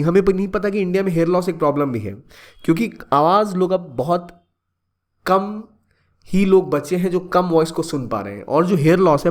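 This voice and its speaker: A young man from India talks students through a current-affairs issue in a relaxed, conversational way, slipping English phrases into his sentences. His voice sits a little higher than most men's. He often stops and restarts a word to correct himself. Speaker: exam-prep educator. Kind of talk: explanatory current-affairs talk.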